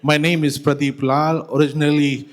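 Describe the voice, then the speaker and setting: A man's voice: on the high side, flat delivery, normal speed. A man from Fiji introducing himself as a contestant with an upbeat, formal greeting. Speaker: singing contestant.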